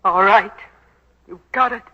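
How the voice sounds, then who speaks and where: A woman's voice: mid-range voice, measured pace, pushed and bright. An actress playing a bitter, grieving daughter in a 1940s radio drama, intense and accusing in her delivery. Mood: indignant, grieving. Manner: intense, accusing, clipped retorts. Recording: dull vintage 1940s radio recording.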